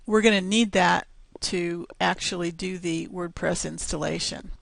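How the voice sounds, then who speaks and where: A woman talks through a website installation at an unhurried pace, pausing on each step. Her voice is rough and gravelly because she is losing it. The sound is close and dry with no room around it. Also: lowish voice, steady tone, no room sound. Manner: slow, step-by-step explaining with fillers. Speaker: tutorial presenter. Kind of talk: screencast software tutorial.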